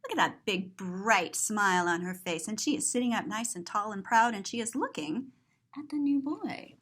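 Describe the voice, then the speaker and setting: A woman's voice: medium pitch, expressive, normal speed, a bit bright, slightly rough. A woman reading a children's picture book aloud as a story-time host, expressively and with simple explanations for young listeners.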